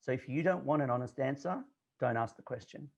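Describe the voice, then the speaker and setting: A man's voice: mid-range voice, a little fast, creaky, dull audio. An Australian man in his forties, a confidence coach who talks bluntly and directly in a casual interview, with frequent fillers. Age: 40s.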